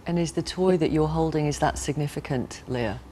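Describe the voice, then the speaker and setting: Broadcast interviewer, woman. Serious, measured questioning, slight noise in the recording. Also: low-pitched, mellow.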